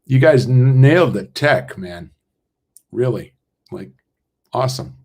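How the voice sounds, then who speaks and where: A man with a medium-pitched voice talks casually on a video call. He is full of enthusiasm and speaks in halting, repeated bits, like a playwright praising his cast. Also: expressive, a little mellow. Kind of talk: casual chat.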